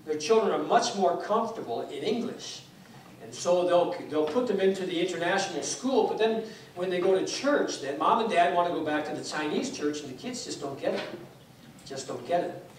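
A man's voice: high-pitched, expressive, normal speed, a little hiss or hum. A man in his sixties, raised in Taiwan, giving a guest sermon as a pastor, telling stories casually with many fillers and restarts. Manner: conversational, with frequent fillers and restarts, gesturing while speaking. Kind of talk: informal sermon storytelling. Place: church sanctuary, indoors. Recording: echoey church hall, distant mic.

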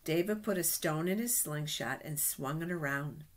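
A middle-aged woman reading Bible stories aloud to children in a deliberate, expressive way. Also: low voice. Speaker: children's storyteller at a church. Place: small office indoors. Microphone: webcam at desk distance.

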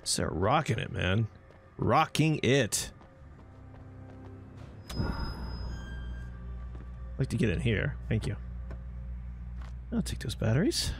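A man's voice: fairly low pitch, lively intonation, slow, vocal fry, pauses often. A man giving casual, slangy, reactive commentary while playing a video game. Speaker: gameplay commentator. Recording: loud game music and sound behind. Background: game music and action sounds.

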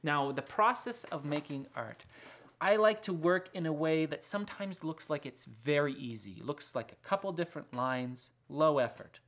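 A man in his thirties talking informally as an author about his work, soft-spoken, reflective and a little halting. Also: fairly high pitch, animated. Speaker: children's picture book author and illustrator. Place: small indoor studio lined with books and records.

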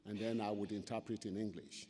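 A man's voice: lowish voice, level tone, mellow, husky. Solemn man with a Yoruba accent, hall echo. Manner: solemn, measured, chanting in places. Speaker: invocation speaker. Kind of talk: ceremonial invocation and prayer. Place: large auditorium stage at a lectern. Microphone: lectern microphone. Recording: long hall reverb.

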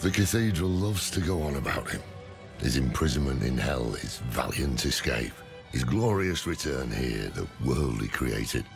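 Weary old demon-hunting scholar, wry and grave, extremely low and heavily creaky, game drama. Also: male voice, sing-song, unhurried, over music, full character voice. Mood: bitterly wry. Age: older. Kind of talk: dramatic video game dialogue and narration.

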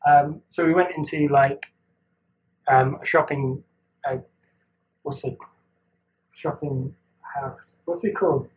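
A man's voice: on the high side, fairly even intonation, slow, long pauses, a little mellow, muffled recording. A man from Wales, chatting as a guest artist over a video call, rambling and hesitant with frequent laughs. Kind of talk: casual chat.

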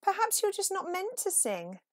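A woman's voice: very high voice, huge pitch swings, moderate pace, no room sound, studio quality, pitched above the performer's own voice, full character voice. A woman voicing a kindly but firm bird who conducts a choir in a storybook, speaking instructively. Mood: regretful.

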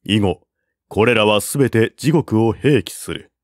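A man reading a classic war novel aloud as narrator, in a measured, deliberate way. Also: fairly low pitch, no echo, clean studio sound. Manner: measured, deliberate reading with raised voice for quoted generals.

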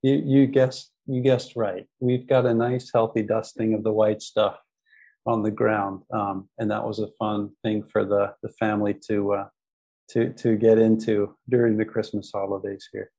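An American man with a fairly low voice and little pitch movement chats as a podcast guest. He is friendly and fills his sentences with ums and small restarts. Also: flat delivery, moderate pace, dark tone, poor audio. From United States. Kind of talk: casual interview talk.

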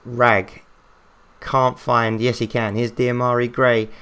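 An English man commentating on a football management game in casual chat, excitable and rambling, his voice getting worn out. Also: medium pitch, takes it slowly, clean tone. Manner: excitable, rambling, talks to himself. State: losing his voice, coughing.

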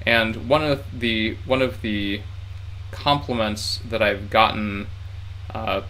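A man's voice: on the low side, slow pace, bright, forceful tone, slight background noise, poor audio. Rambling young man talking to his webcam. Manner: rambling, hesitant, many fillers and restarts. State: tired from heat. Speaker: vlogger learning Japanese. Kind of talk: casual talk to camera. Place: small room indoors.

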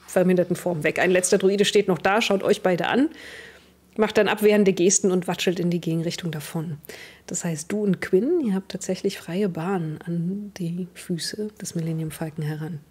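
Slightly husky young woman narrating a game. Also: mid-range voice, darkish tone. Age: young adult. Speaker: game master. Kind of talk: narrating a tabletop role-playing game. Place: indoor home streaming setup. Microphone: headset.

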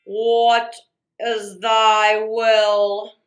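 Woman putting on a loud, slow-booming genie. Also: little pitch movement, reverberant. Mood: grand, obedient. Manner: slow, commanding, drawn-out delivery. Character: booming, obedient male genie of the lamp.

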